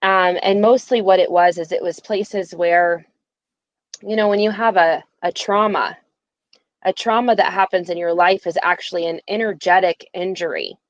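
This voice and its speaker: A woman's voice: on the low side, steady tone, normal speed, dry recording. Woman host, eager and emphatic, dull lo-fi sound. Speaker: podcast host. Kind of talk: casual spiritual conversation.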